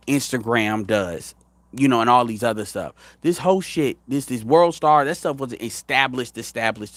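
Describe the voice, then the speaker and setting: Man in his thirties, host of a commentary show: blunt, profane, rambling, with a lively swinging pitch and a dry close sound. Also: no echo. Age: 30s. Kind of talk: casual opinion commentary.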